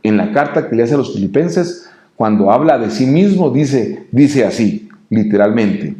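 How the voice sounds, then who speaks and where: Mellow Guatemalan man preaching, roomy sound. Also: mid-range voice, lively intonation, measured pace. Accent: Guatemalan Spanish. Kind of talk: devotional sermon addressed to listeners. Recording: roomy, echoing room sound.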